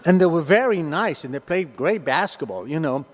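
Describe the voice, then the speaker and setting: Lively older Argentine man telling stories. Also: a little high, moderate pace, in a large hall. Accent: Argentine Spanish. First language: Spanish. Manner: animated, acts out voices in his stories. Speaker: recovery meeting speaker. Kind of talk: storytelling talk to a meeting. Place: meeting hall.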